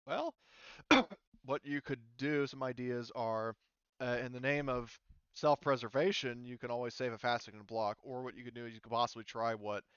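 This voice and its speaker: A man's voice: medium pitch, a bit bright, smooth, dry recording, dull audio. A man acting as game master, casually thinking aloud as he talks players through rules and turns. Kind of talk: casual tabletop game narration and rules talk.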